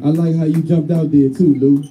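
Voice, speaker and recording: A man hosting a barber battle, loud and teasing, speaking on a handheld mic in a crowded barbershop. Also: a little high, flat delivery, a little fast, background noise. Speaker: event host. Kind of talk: hyping up a live crowd. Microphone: handheld microphone.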